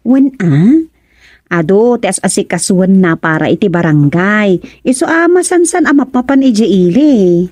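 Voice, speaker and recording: A woman's voice: medium pitch, sing-song, unhurried, a little mellow, no echo, clean studio sound. A woman playing a chatty, gossiping village busybody in a radio comedy drama, with an Ilocano accent and exclamatory manner. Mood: helpful.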